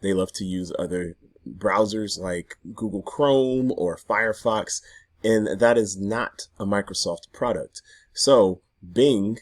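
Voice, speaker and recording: A man in his thirties speaks in a very low, hoarse voice, chatty and loose, often restarting his sentences. He talks straight to the camera from his home garage. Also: slow. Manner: chatty, loose, self-interrupting. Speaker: affiliate marketing vlogger. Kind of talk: casual talk to camera.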